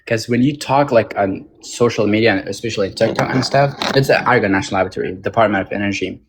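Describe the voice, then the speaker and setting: A young man, a podcast guest who grew up outside the English-speaking world, speaking in a halting, casual way with many fillers and restarts. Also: on the low side, background noise. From Tajik American, born in Moscow. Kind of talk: casual interview talk.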